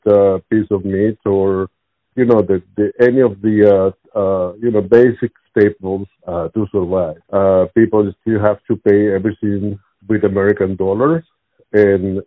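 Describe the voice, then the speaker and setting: Halting Venezuelan man on a phone-like line. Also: on the low side, dry recording. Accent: Venezuelan Spanish. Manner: halting, searching for words, repeats himself. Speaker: interviewee. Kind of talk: spontaneous interview answers. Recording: tinny narrowband phone-like line.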